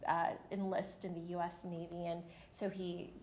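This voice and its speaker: A woman's voice: on the low side, little pitch movement, normal speed, darkish tone, reverberant, a little hiss or hum. A woman in her thirties from the Philippines, telling her career story on a panel in a candid, self-deprecating way. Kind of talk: panel discussion. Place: university event room.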